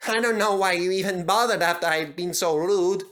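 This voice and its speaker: A man's voice: high-pitched, normal speed, a bit bright, pitched above the performer's own voice. A man voicing a young male engine, humbled and grateful, speaking earnestly.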